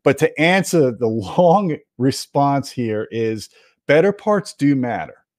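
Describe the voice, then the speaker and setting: A man's voice: medium pitch, very animated, slow pace, darkish tone, no echo, studio quality. A man hosting an audio gear video, explaining things to viewers in an emphatic, opinionated, conversational way. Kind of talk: explanatory product commentary to viewers.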